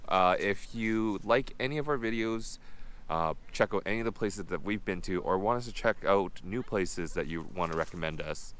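A man's voice: sits low, a bit bright, slight background noise. A man, casually hosting a food vlog to camera. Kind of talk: casual restaurant review to camera. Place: busy restaurants and an outdoor park. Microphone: camera at about arm's length.

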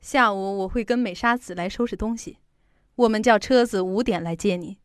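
A woman's voice: mid-range voice, normal speed, smooth, no echo, lightly acted. Woman acting middle-aged mother, radio drama, chatty, protective, fretful, dry studio sound. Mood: caring, brisk. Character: fussy, protective middle-aged working mother, insurance saleswoman.

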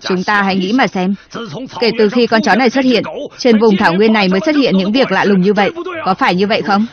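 A woman's voice: mid-range voice, fast talker, a crowd in the background, close to an everyday voice. A woman voicing an accusatory, vengeful grieving man in a cartoon film, speaking insistently. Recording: dull lo-fi film dub. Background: film music.

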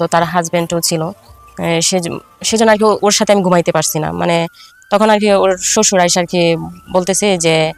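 A middle-aged woman with a Bangladeshi Bengali accent tells a first-person life story, halting and repeating herself with filler phrases. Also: fairly low pitch. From Faridpur, Bangladesh.

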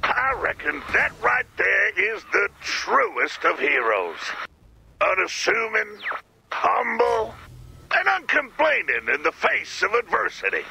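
Game old-timer janitor: high, badly rasping, creaky, folksy and pompous, swooping wildly, radio filter. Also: male voice, huge pitch swings, takes it slowly, sharp tone, heavily acted. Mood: solemn, admiring. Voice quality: raspy, creaky. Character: folksy, grave old-timer male janitor, self-important and earnest. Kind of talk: video game character dialogue.

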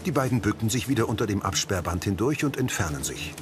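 Deep-voiced man narrating scenes, lively intonation. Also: fast talker, over music. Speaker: audio description narrator. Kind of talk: scripted present-tense scene narration.

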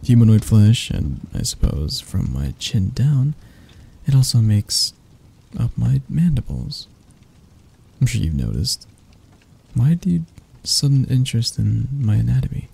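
A man playing a seductive, doting yet predatory castle monster in an intimate ASMR roleplay, close to the mic with a husky, gravelly voice and a slow, teasing manner. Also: mid-range voice, very animated, heavily acted. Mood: sly, hungry. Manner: slow, teasing, coaxing, with soft chuckles. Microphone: close microphone.

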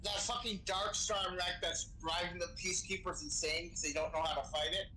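Bright higher-pitched man in game role-play. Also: steady tone, a little fast, slight background noise, low-quality recording. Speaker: role-player in an online game. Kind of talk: casual in-character role-play chat. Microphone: game voice chat microphone.

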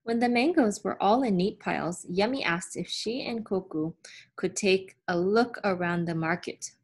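Slightly husky woman reading a storybook aloud. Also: medium pitch, normal speed. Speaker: teacher reading a picture book aloud. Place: small room at home, on a sofa.

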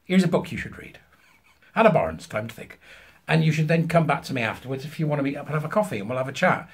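A British man in his fifties telling an anecdote to camera, emphatically acting out both sides of a conversation in a husky voice. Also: on the high side, animated, fast talker. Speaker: vlogger. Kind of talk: animated anecdote told to camera. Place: small furnished room at home, indoors.

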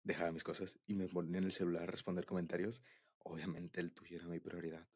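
Young male, Mexican accent, deep and breathy, for shy, intimate boyfriend-style ASMR talk. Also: level tone, fast, a little mellow. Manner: bashful, hesitant, giggly. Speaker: ASMR roleplay creator.

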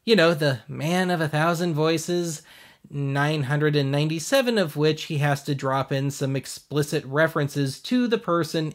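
A man hosting casual review commentary in a dry, sardonic way, recorded at home on a condenser microphone. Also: on the high side. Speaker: host. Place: home room with shelves. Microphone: large condenser microphone.

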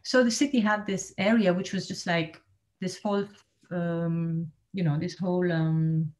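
A woman in her forties who grew up in Switzerland, giving a thoughtful online presentation from a small room at home, pausing often with ums and restarts. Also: lowish voice, fairly even intonation, a little mellow. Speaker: art space director. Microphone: laptop webcam on video call.

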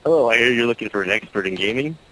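A man's voice: medium pitch, expressive, rapid-fire, a bit bright. A man working as a store employee, casually answering a caller's questions on the phone. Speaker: store employee taking a call. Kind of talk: casual customer-service phone talk. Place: store, on a telephone. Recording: faintly noisy telephone line.

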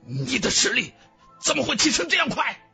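A man voicing an arrogant, boastful male martial-arts villain with a taunting, forceful manner in an audiobook. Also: extremely high pitch, wildly swooping pitch, moderate pace, gravelly, soft background music, pitched above the performer's own voice, exaggerated voice. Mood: alarmed.

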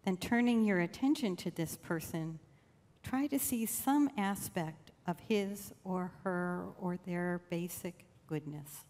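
Low-voiced woman guiding meditation, long reverb. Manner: slow, gentle, soothing with long pauses. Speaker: church meditation leader. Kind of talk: guided meditation. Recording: long reverb of a large room.

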